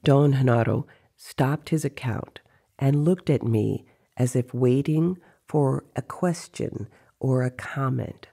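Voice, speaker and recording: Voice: medium pitch, slow, creaky, dark tone. A narrator reading a literary story aloud in a dramatized audio style. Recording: faint music behind.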